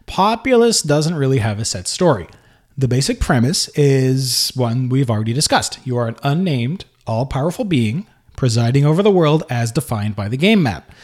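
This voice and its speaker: A male podcast host with a plain voice, neither high nor low. He talks off the cuff, stopping to rephrase and fill gaps as he thinks aloud. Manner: unscripted, halting, many fillers and restarts. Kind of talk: casual solo podcast talk.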